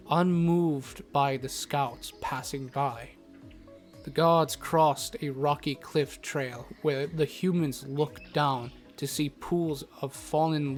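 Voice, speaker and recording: A man narrating a scripted fantasy audio drama in the first person, with formal storybook wording. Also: a little high. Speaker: narrator, in character as a travelling doctor. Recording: music bed under narration.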